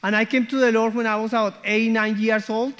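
A man's voice: sits high, little pitch movement, a little fast, fairly bright tone, clear, resonant tone. A man, sharing his church testimony as a guest missionary in an earnest way that often checks in with "okay?" and "hmm?". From Colombia.

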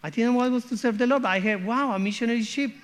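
A man's voice: very high-pitched, rapid-fire. A man, sharing his church testimony as a guest missionary in an earnest way that often checks in with "okay?" and "hmm?". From Colombia.

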